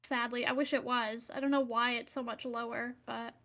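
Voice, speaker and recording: A woman's voice: on the high side, flat delivery, normal speed, a bit bright. A woman playing a tabletop fantasy game, chatting casually and thinking aloud.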